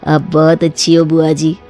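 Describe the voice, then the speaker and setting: Respectful young nephew played by a woman, lowish voice, hesitant, deferential, family cartoon, music behind. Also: dark tone.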